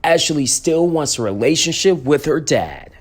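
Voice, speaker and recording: Male reporter for scripted feature narration, strongly animated intonation. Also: a little high, normal speed, slightly rough. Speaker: news reporter.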